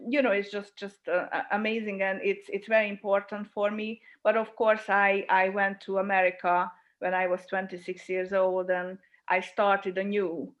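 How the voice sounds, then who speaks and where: A middle-aged woman talks in a thoughtful, searching way and pauses to find her words. The audio is a dull, lo-fi video call with her voice at a middle pitch. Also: flat delivery. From Hungary. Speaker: guest, filmmaker. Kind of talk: casual interview chat.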